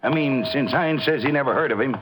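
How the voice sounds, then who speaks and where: A man plays a county sheriff in a vintage radio drama. He talks plainly and colloquially in a middling pitch, doubtful of what he is told. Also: fast talker, natural voice. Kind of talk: 1950s radio acting.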